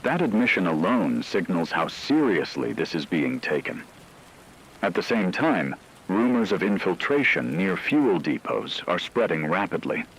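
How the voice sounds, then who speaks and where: A man playing a grave radio anchor, delivering a scripted emergency broadcast in a measured, formal manner. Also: low-pitched, very animated, vocal fry, a bit bright, slightly rough, exaggerated voice, rough recording.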